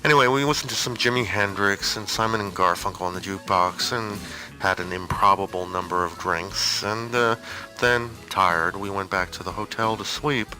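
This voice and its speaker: A man's voice: deep voice, fairly even intonation, normal speed, sharp tone, faint music behind, poor audio. A man giving an informal, rambling personal monologue with a hesitant, digressive and wry way of talking. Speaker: storyteller on an audio zine.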